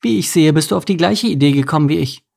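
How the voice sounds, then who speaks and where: A man's voice: on the high side, fast talker, creaky, a little mellow, pitched above the performer's own voice. A man voicing a cheerful, chatty female mouse in a children's story, pleased with herself.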